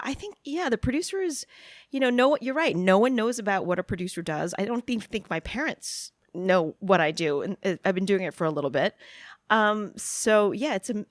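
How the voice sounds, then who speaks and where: A woman's voice: mid-range voice, animated, moderate pace, no echo, clean studio sound. Chatty New York producer woman. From New York City, USA. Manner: chatty, laughs often, self-deprecating. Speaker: TV and media producer, guest. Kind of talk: casual interview conversation.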